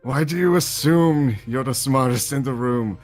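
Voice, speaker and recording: A man's voice: medium pitch, moderate pace, a little mellow, soft background music, lightly acted. A man voicing a brooding, arrogant cartoon jackal villain who recites lines theatrically. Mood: brooding, intense. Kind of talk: dramatic recitation in character.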